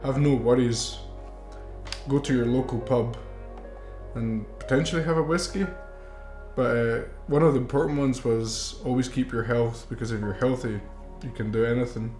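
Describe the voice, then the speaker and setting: Earnest young man over soft guitar. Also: medium pitch, steady tone, moderate pace. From Mexico. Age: 20s. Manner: earnest, reflective, with some fillers. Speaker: filmmaker. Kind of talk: documentary narration. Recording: roomy with music underneath.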